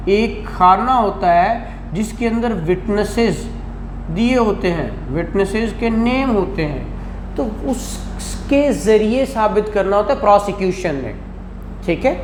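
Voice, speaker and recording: A man with a Pakistani Punjabi accent teaches a class at an unhurried pace. He is didactic and keeps putting questions to his listeners. The room is echoey, with faint noise behind him. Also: high voice. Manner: didactic, repeats questions and check phrases. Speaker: law lecturer. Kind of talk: classroom lecture mixing Urdu and English.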